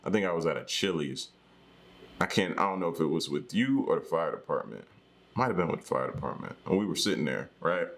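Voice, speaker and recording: A man's voice: sits low, animated, fast, vocal fry, a bit bright. An American man co-hosting a podcast, chatting casually and telling stories in a slangy, joking way. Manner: colloquial, slangy, joking storytelling. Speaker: podcast co-host. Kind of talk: casual conversational chat.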